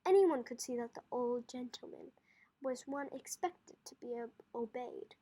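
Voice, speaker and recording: Amateur female audiobook reader, high voice, careful storybook narration with small stumbles. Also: unhurried, mellow. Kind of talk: storybook narration read aloud.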